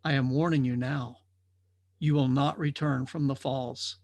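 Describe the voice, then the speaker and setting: A man's voice: medium pitch, steady tone, darkish tone, no echo, pitched below the performer's own voice, close to an everyday voice. Man voicing a grave, ancient riverside watcher. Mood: solemn, grave. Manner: terse, grave, unhurried delivery.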